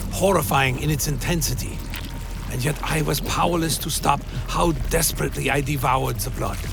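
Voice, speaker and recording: An actor playing a tormented vampire who narrates a gothic horror radio play in a grave, literary manner. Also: male voice, on the high side, gravelly. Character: tormented male vampire recounting his dark journey. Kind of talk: dramatic first-person gothic narration.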